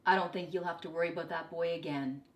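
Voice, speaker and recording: A woman voicing a kind, gentle male schoolteacher in a children's picture book, speaking earnestly and reassuringly. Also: fairly low pitch, fairly even intonation, fast talker, lightly acted. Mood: soft, caring.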